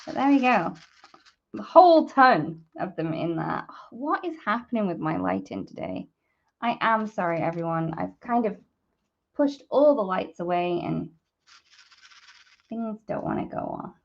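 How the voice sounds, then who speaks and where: A woman's voice: lowish voice, sing-song, measured pace, vocal fry. Chatty woman hosting a craft demo, dull audio. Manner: chatty, informal, thinking aloud as she works. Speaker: host of a live papercrafting demonstration. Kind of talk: casual live craft tutorial, chatting to viewers.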